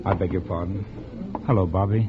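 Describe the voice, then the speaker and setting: An actor playing a courteous, earnest, wounded old flame in a 1940s radio drama. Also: male voice, deep voice, steady tone, fairly quick, natural voice. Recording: muffled old radio recording with hiss.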